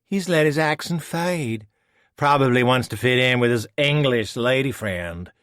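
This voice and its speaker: A man's voice: mid-range voice, expressive, unhurried, a bit bright, dry recording, clean studio sound, voice pushed up. A man doing a sly, teasing villainess. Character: sly, teasing female villain, dark-haired.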